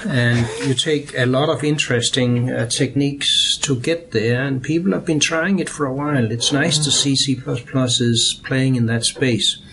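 An older man from Denmark, answering interview questions in a measured way with dry humor and frequent hesitations. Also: mid-range voice, steady tone, background noise. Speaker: guest. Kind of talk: interview conversation.

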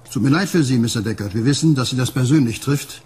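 An actor playing a smooth-talking company boss and uncle in a radio drama, with a husky voice. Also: male voice, mid-range voice, quick pace, darkish tone. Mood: self-assured. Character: smooth-talking male corporate android maker, uncle.

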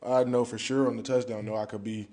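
Young male athlete, medium pitch, warm tone, casual and chatty at the podium. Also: level tone, fast talker. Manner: casual, conversational, drops "man" often. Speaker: football player. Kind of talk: post-game press conference answers. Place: press conference room, indoors. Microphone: podium mic in front.

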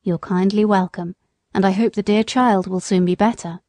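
A woman's voice: mid-range voice, steady tone, normal speed, a little mellow, dry recording, natural voice. A woman voicing a kindly, plain-spoken London cab driver in a storybook, firm and fatherly. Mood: warm, reassuring.

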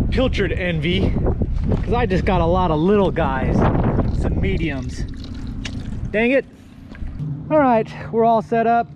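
A middle-aged man giving casual running commentary to himself while kayak fishing, with lots of exclamations, recorded on an action camera out on the water. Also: high-pitched. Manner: chatty, exclamatory, talks to himself. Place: kayak on open water outdoors. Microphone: action camera mounted on kayak. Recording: action camera outdoors with wind and water noise. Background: wind and water noise.

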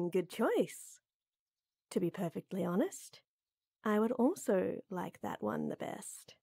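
A woman playing a tall, tender, teasing lady knight in an intimate roleplay audio, coaxing softly. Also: medium pitch, expressive, takes it slowly, no echo, clean studio sound, exaggerated voice. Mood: flirty, teasing. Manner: soft, teasing, coaxing, with breathy near-whispers.

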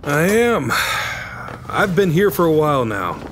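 An actor playing a measured, authoritative police chief in an audio drama, speaking formally and deliberately. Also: male voice, a little high, big pitch swings, fairly bright tone, slight background noise. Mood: grave, authoritative.

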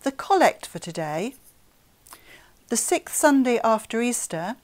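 A woman's voice: fairly high pitch, very animated, a little slow. A woman service leader announcing the parts of a church service.

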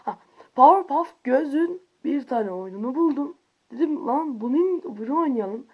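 A woman commenting playfully on a video game, swinging from whispered asides to excited squeals and grumbling at it. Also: high voice, normal speed, highs cut off. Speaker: gaming YouTuber. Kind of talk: casual let's-play commentary.

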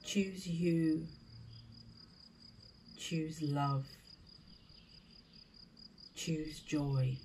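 A woman leading a scripted guided meditation in a soothing, deliberate manner, with short spaced phrases. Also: low-pitched, warm tone, slight background noise.

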